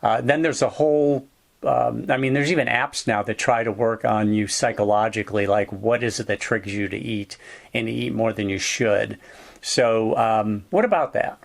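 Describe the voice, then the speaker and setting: A man's voice: lowish voice, normal speed, vocal fry. A man from upstate New York hosting a podcast interview, chatty and lightly joking. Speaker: podcast host.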